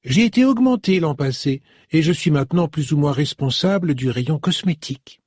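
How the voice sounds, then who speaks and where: Eager young shop assistant, high voice, husky, dry, as a male reader puts her on. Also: expressive, normal speed, a little mellow, dry recording. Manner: chatty, eager, exclamatory.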